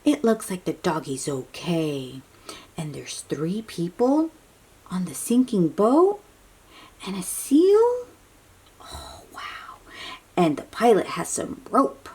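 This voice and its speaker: A woman reading a picture book aloud to young children in an animated, breathy, questioning way, drawing out her words. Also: on the low side. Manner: animated, drawn-out, questioning delivery aimed at little children. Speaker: children's read-aloud host.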